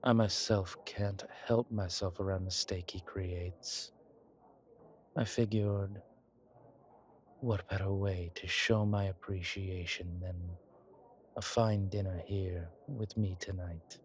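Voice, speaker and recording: A man playing a suave, grateful old vampire in a roleplay audio, speaking formally with pauses and quiet amusement. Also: sits low, steady tone, slight background noise. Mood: grateful, courteous. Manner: genteel, formal, hesitant pauses, soft chuckles. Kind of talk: intimate one-sided roleplay audio drama.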